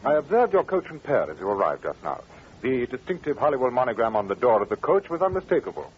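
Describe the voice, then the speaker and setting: A muffled old radio recording with faint noise carries a man acting a self-assured English detective. He talks fast and precisely, with animated swings of pitch, in classic radio drama style. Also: lightly acted. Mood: confidently deducing.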